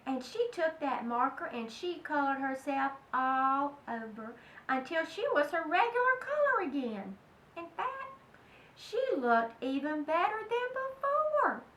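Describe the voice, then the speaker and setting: High, bright woman reading a storybook. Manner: dramatic, emphatic, addresses young listeners directly. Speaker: children's storybook reader. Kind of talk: animated read-aloud to children. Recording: echoey room, distant mic, faint noise.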